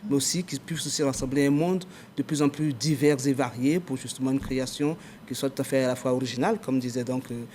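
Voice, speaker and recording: A man's voice: mid-range voice, quick pace, hardly any pauses, a little mellow, a little hiss or hum. A man, an adviser in a ministry, talking as a guest on a TV talk show in long, formal, official-sounding sentences.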